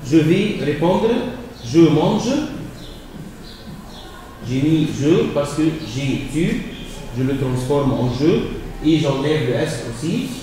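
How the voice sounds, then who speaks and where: A man teaching a French lesson, explaining exercises slowly and hesitating with repeated words and fillers. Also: fairly high pitch, lively intonation, dark tone, a little hiss or hum. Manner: hesitant, repeats words and fills pauses with euh. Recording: echoey room, voice from far off.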